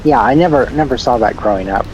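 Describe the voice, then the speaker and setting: A man's voice: mid-range voice, quick pace, dull audio, background noise. Hesitant, laughing man co-host. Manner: hesitant, restarts, laughs often. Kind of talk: casual chat.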